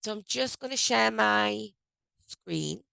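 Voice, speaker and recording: A woman hosting an online HR briefing on a video call, speaking loosely with frequent ums and self-corrections, her voice croaky as she recovers from a cold. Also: medium pitch, animated, slow, bright, forceful tone, no echo. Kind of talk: informal presentation on a video call. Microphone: video call microphone.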